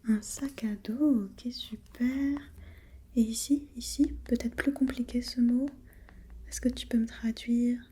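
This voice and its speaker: A woman whispering breathily in an ASMR role-play as an examiner, gently asking step-by-step questions and giving short encouragements. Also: fairly high pitch, steady tone, slow pace, husky.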